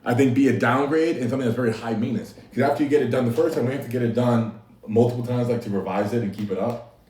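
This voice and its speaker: A man's voice: medium pitch, quick pace, a little mellow, slight background noise. A man hosting a casual livestream, talking easily to his chat and laughing often. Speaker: livestream host. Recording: echoey room, mic at a distance.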